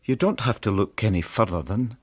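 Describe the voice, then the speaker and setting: An actor playing an apologetic, reasoning gentleman friend, mildly awkward, in a radio drama. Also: lowish voice, fairly quick, natural voice.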